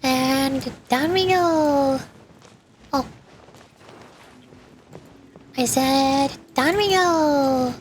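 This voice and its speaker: A woman's voice: high voice, very slow, slight background noise. A woman streamer giving casual, playful gameplay commentary in a cutesy, joking manner. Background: game music.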